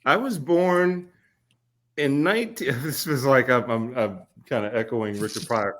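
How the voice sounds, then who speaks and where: A man's voice: medium pitch, fairly bright tone. Laid-back man telling a story. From Kentucky. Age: 40s. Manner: easygoing, laughs often, pauses with fillers. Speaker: podcast guest. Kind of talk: casual storytelling conversation.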